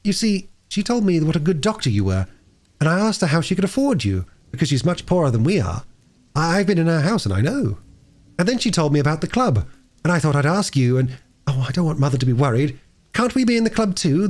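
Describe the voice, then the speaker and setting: A man's voice: on the high side, sing-song, quick pace, darkish tone, pitched above the performer's own voice. A man voicing an earnest, anxious young girl in a storybook, hesitant and pleading.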